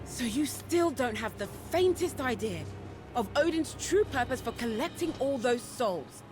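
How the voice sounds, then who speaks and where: A woman's voice: sits high, expressive, a little slow. A woman playing a defiant, scornful rival warrior in a fantasy video game, with disdainful, theatrical delivery. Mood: mocking. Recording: loud orchestral music behind voice.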